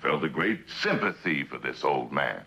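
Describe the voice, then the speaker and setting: An actor playing a sardonic courtroom prosecutor in a 1960s television drama, clipped and cross-examining. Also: male voice, rumbling low, expressive, normal speed, creaky, pushed and bright, husky, full character voice. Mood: pressing, sardonic. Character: forceful sardonic courtroom prosecutor grilling a witness.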